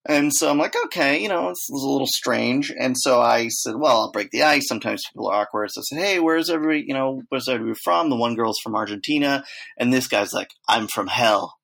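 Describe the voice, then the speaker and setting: Gravelly-voiced man telling travel stories. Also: mid-range voice, fast, fairly bright tone. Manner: rambling storyteller, acts out quotes, many fillers. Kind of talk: casual chat.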